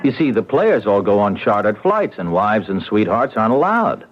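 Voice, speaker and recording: A man's voice: fairly low pitch, sing-song, moderate pace, faint music behind. An actor playing a coaxing travelling ballplayer wooing his sweetheart in a 1970s radio drama. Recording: muffled vintage radio broadcast.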